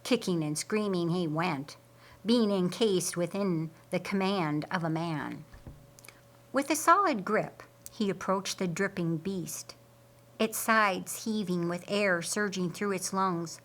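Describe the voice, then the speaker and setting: A woman reading an audiobook story in a deliberate, theatrical way. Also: on the low side, expressive, fairly bright tone. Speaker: audiobook narrator. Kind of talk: dramatic scripted storytelling narration.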